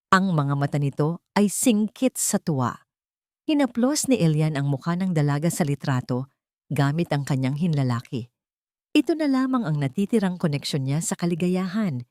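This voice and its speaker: A woman's voice: lowish voice, a little mellow, no room sound, studio quality. A woman with a Filipino accent reading a scripted audiobook story in a dramatic narrating style.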